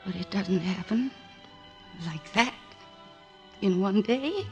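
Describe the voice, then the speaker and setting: An actress plays an earnest woman doctor in love, speaking at a middle pitch with nervous composure. It is vintage radio drama from a restored old broadcast, with faint music behind. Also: takes it slowly, a little mellow, lo-fi sound, natural voice. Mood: tender, bewildered. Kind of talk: 1940s radio drama acting.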